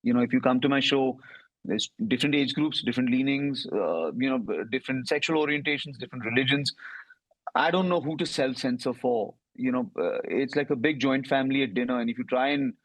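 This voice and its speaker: A man with an Indian accent, a comedian answering interview questions in a reflective conversational way, often adding quick asides. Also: medium pitch, no room sound, low-quality recording.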